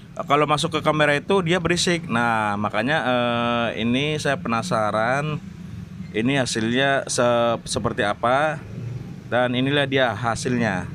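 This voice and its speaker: A man's voice: medium pitch, a bit bright. A man hosting a casual product test, speaking in a hesitant, repetitive way. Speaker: vlog host. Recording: clearly noisy, vehicle ambience.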